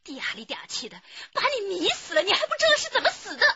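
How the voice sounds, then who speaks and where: An actress playing an aggrieved, jealous wife with a husky voice in a radio drama. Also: female voice, very high voice, wildly swooping pitch, quick pace, muffled recording, background noise, full character voice. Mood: furious, shrill.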